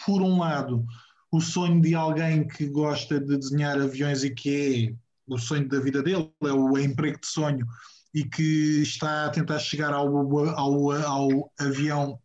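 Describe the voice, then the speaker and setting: A man's voice: fairly high pitch, little pitch movement, unhurried, a little mellow. A man, co-hosting a casual podcast chat and recommending a film, talking with many fillers and restarts. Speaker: podcast co-host. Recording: echoey, muffled room with faint noise.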